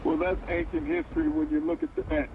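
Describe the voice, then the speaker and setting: A man's voice: on the high side, flat delivery, fairly quick, phone-quality sound, noisy background. A man co-hosting a casual sports talk show, giving short, confident verdicts on where teams will finish.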